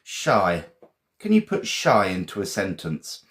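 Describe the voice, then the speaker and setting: A man's voice: low-pitched, huge pitch swings, slow, a bit bright. A man from England with an English accent, teaching a casual live vocabulary lesson in a chatty, encouraging, informal way and often repeating phrases.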